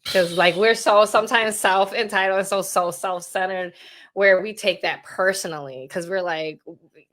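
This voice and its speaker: A woman's voice: medium pitch, fairly even intonation, moderate pace, fairly bright tone, a little hiss or hum. A woman in her thirties talking candidly as a podcast guest, earnest and rambling, often asking "you know what I'm saying". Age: 30s. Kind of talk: candid conversational talk.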